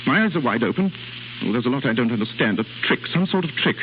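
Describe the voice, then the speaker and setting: An actor playing an earnest, lovestruck young English nobleman, halting and intense, in 1930s radio drama style. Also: mid-range voice, sing-song, lightly acted. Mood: ardent. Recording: muffled, noisy 1930s radio recording.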